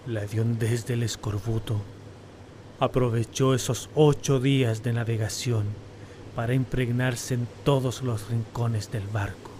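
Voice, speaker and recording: A man playing a shaken steamship captain in a radio drama, solemnly recounting a disaster in measured, literary words. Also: mid-range voice, fairly even intonation, dark tone, slight background noise, lightly acted. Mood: somber, recounting.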